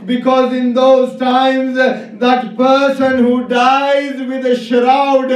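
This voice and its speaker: An echoing, distant recording of a man delivering a religious mourning sermon. His voice is very high for a man, and he declaims slowly in drawn-out, grieving phrases. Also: monotone. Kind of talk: impassioned Shia lament sermon. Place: large indoor congregation hall. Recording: echoey hall, distant microphone.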